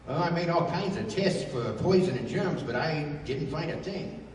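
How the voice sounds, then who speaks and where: Folksy rough-and-ready pulp sidekick acted by a man, lively intonation, 1930s radio style, distant echo-heavy recording. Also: fairly high pitch, normal speed, lightly acted. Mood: puzzled, folksy. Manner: colloquial, blustery. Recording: echoey hall from far off, faint noise.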